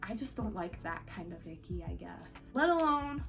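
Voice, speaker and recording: A woman's voice: on the low side, big pitch swings, normal speed, gravelly, distant mic, over music. A woman giving a casual, chatty book review in an informal, giggly manner, with plenty of filler words.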